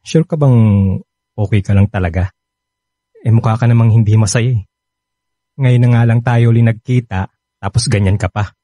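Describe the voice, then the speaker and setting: A man's voice: medium pitch, moderate pace, pauses often, mellow, no echo, lightly acted. A man playing an attentive, worried young boyfriend in a Filipino radio romance, with a Filipino accent and a coaxing manner.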